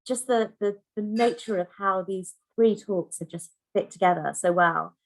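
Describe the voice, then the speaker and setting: A woman's voice: medium pitch, normal speed, slight background noise, rough recording. A woman hosting an online conference over a video call, chatty and effusive, full of restarts and fillers. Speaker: conference host.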